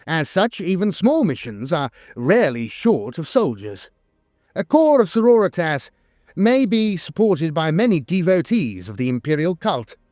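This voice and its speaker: A man reading scripted lore narration in a measured, formal way, with the occasional hesitation. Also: fairly high pitch, a little mellow, dry recording, studio quality. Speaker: channel narrator.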